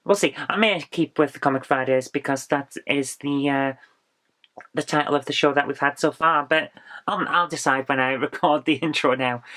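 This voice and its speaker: A woman's voice: deep voice, fairly bright tone. A woman vlogging from a small home office, her voice stuffy and bunged up from a cold. She talks in a loose, rambling way, circling back with fillers and restarts. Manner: rambling, chatty, with frequent ums and restarts. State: congested, bunged-up voice from illness. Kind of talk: casual vlog chat.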